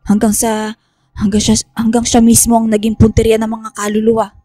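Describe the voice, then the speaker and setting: Lady playing a remorseful professor in a spooky radio drama, soft dark tone, solemn and deliberate, music behind. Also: a little high, completely flat delivery, no room sound, studio quality, close to an everyday voice. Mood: pained, remorseful. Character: remorseful secretive female professor confessing family guilt. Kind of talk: Filipino radio horror drama acting.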